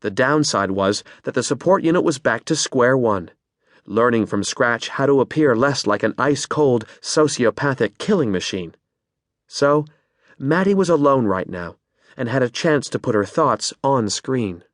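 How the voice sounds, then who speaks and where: A man narrating an audiobook in measured, expressive storytelling from a close studio mic. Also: medium pitch, no room sound. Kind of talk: scripted narration.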